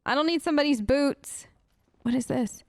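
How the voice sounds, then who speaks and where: High-voiced, playful woman gamer. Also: normal speed, no echo. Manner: hesitant, playful, reactive. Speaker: gamer, let's play host. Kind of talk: role-play gameplay commentary, casual chat.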